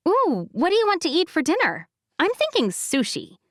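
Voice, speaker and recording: A woman's voice: very high voice, huge pitch swings, normal speed, no echo, studio quality, pitched above the performer's own voice, heavily acted. A woman voicing a sassy, petite young woman friend who talks bluntly and teasingly. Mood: prodding.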